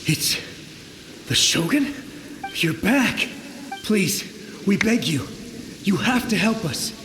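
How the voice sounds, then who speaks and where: Terrified game ghost soldier; high male voice, halting, pleading, roomy echo. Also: animated. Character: desperate, frightened young male soldier's ghost. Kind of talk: anime game voice acting. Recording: echoing, noisy, with rain and thunder behind.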